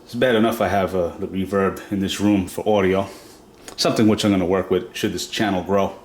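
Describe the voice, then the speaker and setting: A man's voice: low-pitched, fairly even intonation, fairly quick. Man with a stuffy nasal cold. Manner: plain step-by-step explaining with fillers. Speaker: product demo host. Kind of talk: casual how-to demonstration. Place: reverberant room at home.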